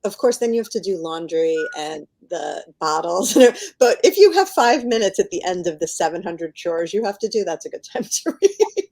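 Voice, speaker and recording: A woman's voice: fairly low pitch, big pitch swings, normal speed, vocal fry, a little mellow. A middle-aged American woman chatting informally as an author in an interview, often dropping "like" into her sentences. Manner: informal, peppers talk with "like". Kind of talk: casual chatty book interview.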